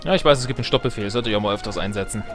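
A man's voice: lowish voice, racing. A man giving casual gameplay commentary, thinking aloud with wry self-mockery. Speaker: let's play host. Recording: game music behind the voice. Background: video game music.

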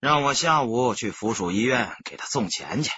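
A gruff, defiant male street tough, put on by a male narrator, grumbling bluntly in a low husky voice. It is an audiobook figure, recorded dry and a little dull. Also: expressive, moderate pace, sharp tone, no room sound, heavily acted. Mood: resentful. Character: defiant, hot-tempered male small-business street tough.

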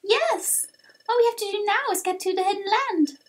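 A woman's voice: very high voice, normal speed. A woman voicing an eager young creature explorer in a video game, full of exclamations. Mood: excited, awed.

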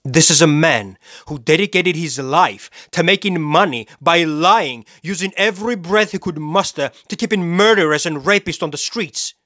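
An actor playing a cold, self-righteous serial killer in a dramatic film monologue, speaking deliberately and with menace, pausing for effect. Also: male voice, mid-range voice, fairly bright tone, no echo, clean studio sound, natural voice. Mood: indignant, contemptuous. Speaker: actor performing a movie monologue.

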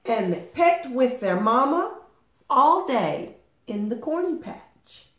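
A woman in her sixties reads a picture book aloud as a storytime host. Her delivery is extremely unhurried and melodic, phrased carefully for small children. It is recorded in an echoey living room. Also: high-pitched. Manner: deliberate, expressive, sing-song phrasing for small children. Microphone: camera a short distance away. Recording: roomy home recording.

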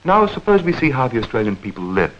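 A man narrating a 1940s educational newsreel in a measured, clearly enunciated, explanatory manner. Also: medium pitch, big pitch swings, fairly bright tone. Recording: dull vintage 1940s film soundtrack.

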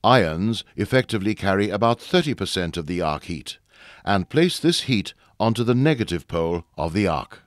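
A man with a fairly low voice narrates technical training material. His delivery is formal and measured, yet the pitch moves widely from phrase to phrase. The sound is close and dry, like a studio voice-over. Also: lively intonation, dry recording. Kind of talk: scripted instructional narration.

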